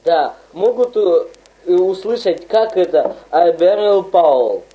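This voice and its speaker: A woman playing a mock lecturer in a comedy skit, talking haltingly with many fillers. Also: lowish voice, expressive, mellow, low-quality recording. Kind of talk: parody radio program.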